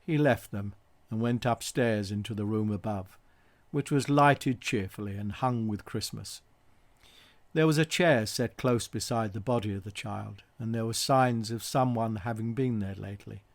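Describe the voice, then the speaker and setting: A man's voice: fairly low pitch, normal speed, no echo. A man narrating a classic story expressively, as an audiobook reader. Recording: dry home recording with music behind.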